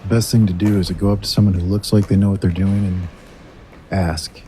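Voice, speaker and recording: A man's voice: sits low, little pitch movement, brisk, dark tone, a little hiss or hum, lightly acted. An actor playing a laid-back, practical man, a friend, in a naturalistic audio drama. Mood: calm, practical.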